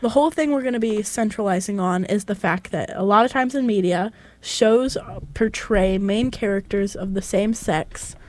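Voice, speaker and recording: A woman's voice: mid-range voice, normal speed, a little mellow. A young woman from Tennessee with a light Southern accent, co-hosting a college radio chat show in a giggly, chatty way. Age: young adult. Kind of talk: casual chat.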